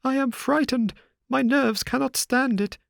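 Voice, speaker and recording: A man voicing a nervous, easily frightened gentleman who pleads in a raised voice. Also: normal speed, creaky, dry recording, clean studio sound. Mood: terrified. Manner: high anxious pleading.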